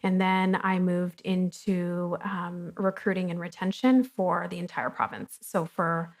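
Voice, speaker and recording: A woman's voice: fairly low pitch, a little slow. A woman guest at a coworking fireside chat, talking candidly and casually about her career, with plenty of ums. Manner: chatty and candid, with frequent ums. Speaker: guest, company co-founder. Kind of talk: casual conversational interview. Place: coworking studio, fireside chat before an audience.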